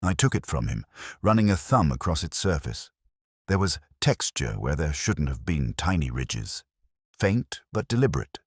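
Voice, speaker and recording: Man, extremely low voice, lively intonation, dry close sound, measured reflective mystery narration. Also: dry recording. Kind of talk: scripted first-person mystery narration.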